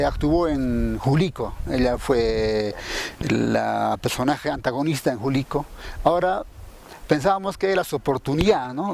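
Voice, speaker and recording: A man's voice: medium pitch, gravelly. A man with a Peruvian accent giving a spontaneous account as a radio drama producer, often ending phrases with a questioning tag. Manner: frequent "¿no?" tags seeking agreement. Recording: steady background noise.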